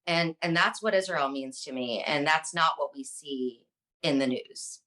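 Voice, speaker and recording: Low-voiced passionate woman guest. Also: a little slow, bright, forceful tone. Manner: passionate, fluent, many ums. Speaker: guest, social media advocate. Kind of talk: earnest interview answers.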